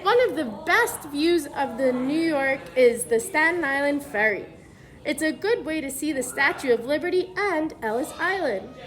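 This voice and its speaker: A woman reading a picture book aloud to young children, pausing to explain things simply as a preschool teacher would. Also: high-pitched, animated, a bit bright.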